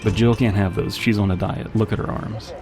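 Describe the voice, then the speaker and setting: A man's voice: fairly low pitch, steady tone, a little fast. A man giving casual, rambling commentary as he plays a video game, with wry joking asides. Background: game music and gunfire.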